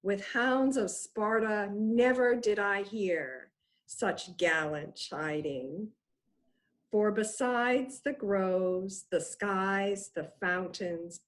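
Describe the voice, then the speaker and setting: A woman reads the role of a proud warrior queen in a Shakespeare play. Her voice sits at an average female pitch and moves very slowly through the verse. The delivery is formal and deliberate. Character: proud warrior queen reminiscing about great hunts. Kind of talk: Shakespeare table read. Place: video call.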